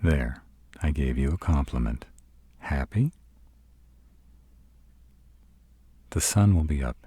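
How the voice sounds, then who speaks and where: A man playing a sarcastic, brooding vampire with a soft spot, dry and grudging, in a roleplay audio drama. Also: a bass voice, slightly rough, no echo, heavily acted. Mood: grudging, sardonic.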